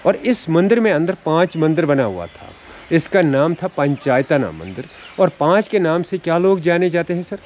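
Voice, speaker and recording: A man's voice: on the high side, lively intonation, moderate pace, a little mellow, highs cut off, slight background noise. Male local guide reciting history. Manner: calls the listener "sir" and lists dates and dynasties. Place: outdoors at temple ruins.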